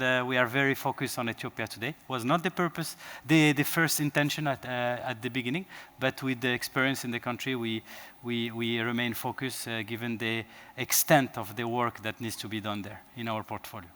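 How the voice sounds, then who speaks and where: A man from Morocco answering questions on a conference panel, halting with many fillers and restarts. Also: medium pitch, steady tone, sharp tone. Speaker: panelist, fund co-founder. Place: conference stage.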